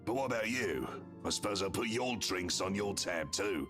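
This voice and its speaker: Laid-back middle-aged barkeep, voiced, low and swoopy, game music behind. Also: male voice, lively intonation, moderate pace, a bit bright. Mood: easygoing. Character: easygoing middle-aged male bartender.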